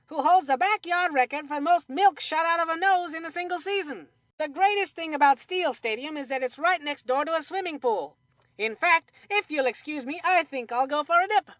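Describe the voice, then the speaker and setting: A woman voicing an excitable, goofy young boy who calls baseball in a cartoon video game, with folksy, jokey old-time announcer patter. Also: high-pitched, no room sound, studio quality. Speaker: sports commentator.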